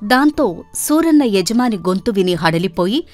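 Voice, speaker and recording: A woman's voice: medium pitch, expressive, unhurried, faint music behind. A woman storyteller reading a scripted moral tale as narrator.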